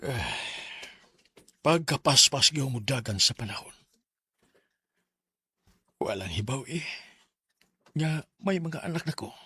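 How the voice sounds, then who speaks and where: A man's voice: medium pitch, animated, slow, pauses often, pushed and bright, husky, exaggerated voice. A man acting in a Bisaya radio drama as a sentimental older male lawyer, with a Cebuano accent and emotive delivery. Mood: reflective. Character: Teresito, sentimental older male lawyer looking back on heartbreak.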